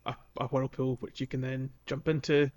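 A man hosting a podcast, talking casually through a game walkthrough in a halting, explanatory way. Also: medium pitch, level tone, a little mellow. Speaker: podcast host.

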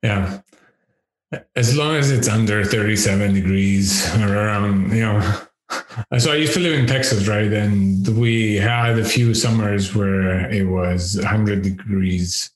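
A man with a deep voice who is a podcast guest, chatting casually, thinking aloud with fillers and laughing often, on a headset mic. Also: unhurried, reverberant, low-quality recording. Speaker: podcast guest, education researcher. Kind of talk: casual interview chat. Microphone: over-ear headset with boom mic.

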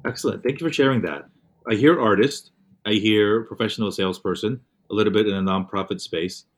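Deep-voiced upbeat male interviewer. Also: fairly quick. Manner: upbeat, eager, leading questions. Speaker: interview host. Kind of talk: casual online interview.

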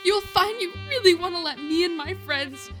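A woman's voice: very high-pitched, lively intonation, moderate pace, fairly bright tone, soft background music. A woman playing a tearful girl pleading earnestly in a comic dramatic reading, her voice breaking.

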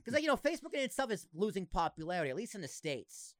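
A man's voice: high voice, big pitch swings, racing, dry recording, clean studio sound. A young man with a slight Boston accent, hosting a podcast in casual, blunt, joking chat. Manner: blunt, jokey, swears, laughs often. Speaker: podcast host.

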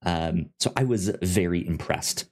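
A man hosting a casual review podcast, informal and halting, stammering over the starts of words. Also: low-pitched, darkish tone. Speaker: podcast host.

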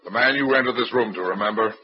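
A man's voice: on the low side, fast, natural voice. An actor playing a hard-boiled private detective with a wry, clipped tough-guy delivery, in 1940s radio acting. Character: wisecracking hard-boiled male private eye. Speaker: narrator and lead detective. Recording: dull old radio recording.

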